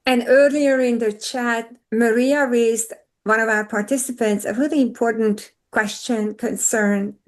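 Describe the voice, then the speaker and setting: A woman's voice: a little high, steady tone, a little slow. A woman whose first language is Hungarian, speaking earnestly as a panelist in an online discussion over a video call.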